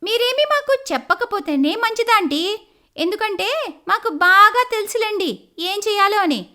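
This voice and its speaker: Lady doing bossy clever young daughter in law from a cartoon story, super high n argumentative, really animated. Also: normal speed, heavily acted. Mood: confrontational. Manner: argumentative, warning, pointed. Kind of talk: cartoon moral story acting.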